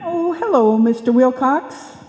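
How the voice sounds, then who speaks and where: A woman playing a patient, gently teasing wife in an old-time radio comedy, with an unhurried, wry delivery. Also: sits high, animated, a little hiss or hum. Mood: amused, teasing. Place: live performance in a reverberant hall. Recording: echoey hall, live stage reverb.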